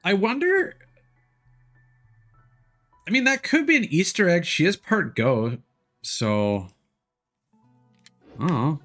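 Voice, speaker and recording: A man streaming a game with casual, off-the-cuff commentary. His voice is bright and on the high side for a man, moving very widely in pitch, with music behind it. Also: very animated, takes it slowly, pauses often.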